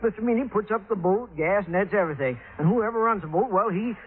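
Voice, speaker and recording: Male actor as folksy small-town Southerner, high-pitched, drawling accent, deferential, old radio drama, tinny vintage recording. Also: fairly quick, slight background noise, close to an everyday voice. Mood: folksy explaining. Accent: American South. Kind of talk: 1950s radio drama acting.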